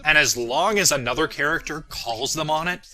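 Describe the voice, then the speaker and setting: Bright-voiced man giving casual commentary. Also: a little high, fairly quick. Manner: casual, self-deprecating, jokey. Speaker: creator giving episode commentary.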